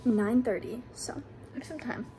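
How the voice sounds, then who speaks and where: A woman's voice: fairly high pitch, moderate pace, dark tone, a little hiss or hum, poor audio. A young woman in her twenties talking casually to her phone camera, upbeat and chatty with lots of filler words. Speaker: vlogger. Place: home, beach and car. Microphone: phone held at arm's length.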